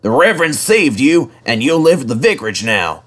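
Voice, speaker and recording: A man's voice: mid-range voice, moderate pace, a bit bright, voice pushed up, natural voice. A man playing a cheerful, encouraging engine driver in a storybook, with a hearty manner. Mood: excited.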